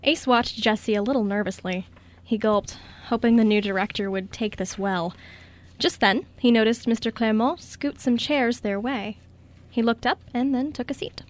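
A woman's voice: a little high, moderate pace. A woman giving scripted story narration for a radio play.

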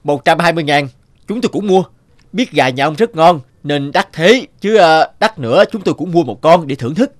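A man's voice: a little high, expressive, a little fast, dry recording, clean studio sound, lightly acted. An actor playing a crafty, stubborn older village man in a cartoon folktale, arguing in a pointed way. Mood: defiant.

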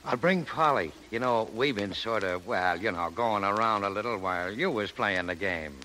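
An actor playing an awkward, earnest, hesitant showbiz pal in a 1930s radio drama. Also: male voice, fairly low pitch, normal speed, sharp tone. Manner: hesitant, stammering. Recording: crackly 1930s radio recording.

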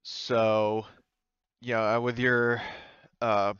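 A man improvising narration as game master of a tabletop game, speaking in a halting, informal way. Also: on the low side, level tone, fairly bright tone, clean tone, dry recording, lo-fi sound. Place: indoors, online game session.

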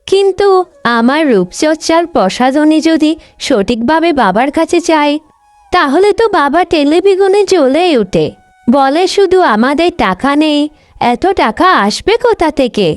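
একজন মহিলা কার্টুন গল্পে অহংকারী, স্বার্থপর তরুণী বড় বোনের চরিত্রে কণ্ঠ দিচ্ছেন, কথায় বকাঝকা আর অভিযোগের সুর।. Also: female voice, sits high, lively intonation, measured pace, dry recording. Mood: irritated, sulky. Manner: scolding, complaining. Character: vain, selfish, sharp-tongued young elder sister. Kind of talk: Bengali cartoon story voice acting.

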